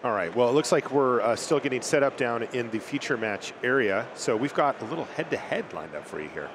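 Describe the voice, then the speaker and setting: A man commentating a card game tournament in a lively, conversational way, with filler words here and there. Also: mid-range voice, brisk, heavy background noise. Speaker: esports commentator. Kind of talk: play-by-play commentary.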